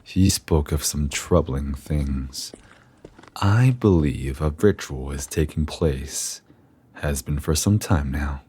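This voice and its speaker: An actor playing a grave, ominous university scholar in a horror audio drama, speaking formally and deliberately with a theatrical air. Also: male voice, a bass voice, lively intonation, dark tone, slightly rough, full character voice. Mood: grave, guarded. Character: grave, ominous male university scholar guarding occult secrets.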